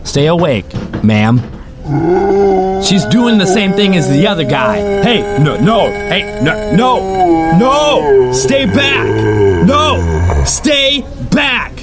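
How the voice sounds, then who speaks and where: A man's voice: high-pitched, wildly swooping pitch, slow, over loud music, heavily acted. A man playing a chatty, sardonic rideshare driver, telling his story in the first person for an audio drama. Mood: panicked, urgent. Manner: casual, sardonic, conversational. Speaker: narrator and lead character.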